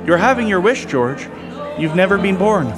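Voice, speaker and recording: Guy doing a sweet flustered old angel, old radio play, highish lilting voice, music behind. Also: lively intonation, moderate pace. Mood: earnest, knowing. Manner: gentle, quaint, flustered phrasing. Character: quaint, innocent, very old male guardian angel. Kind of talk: old-time radio drama acting.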